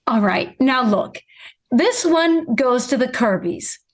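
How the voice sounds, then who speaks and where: A woman playing a patient, practical middle-aged mother, gently insistent, in vintage radio sitcom style. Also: sits high, expressive, takes it slowly, heavily acted.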